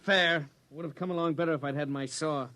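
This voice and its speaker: An actor playing a friendly, simple carpenter neighbor in a 1950s radio drama, talking in a plain, good-natured way. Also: male voice, fairly high pitch, steady tone, brisk, background noise, lightly acted.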